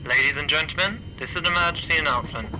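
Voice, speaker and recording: A man playing a calm airline pilot making formal cabin announcements in an amateur radio drama. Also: a little high, rapid-fire, crowd noise, close to an everyday voice, low-quality recording. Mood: official calm. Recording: clear aircraft engine noise.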